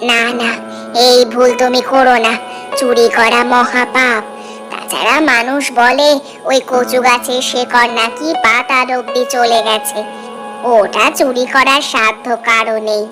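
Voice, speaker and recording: A woman's voice: a little high, little pitch movement, measured pace, natural voice. Woman playing an inquisitive middle-aged village auntie over music. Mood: cautioning. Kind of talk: cartoon folk-tale dubbing. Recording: voice over prominent background music.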